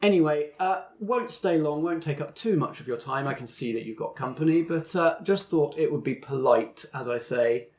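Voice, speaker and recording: A man's voice: a little high, moderate pace, hardly any pauses, close to an everyday voice. A man voicing a smug, falsely polite corporate sorcerer in a suit who talks down with hesitant courtesy.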